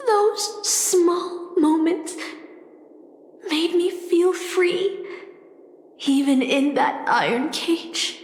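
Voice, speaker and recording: A woman's voice: extremely high pitch, lively intonation, very slow, pauses often, a little hiss or hum, heavily acted. A woman playing a sorrowful, self-condemning spirit of a fallen songstress in a game, with grave theatrical delivery. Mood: weary, bitter. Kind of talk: dramatic game voice acting. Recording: echoey hall reverb, music behind.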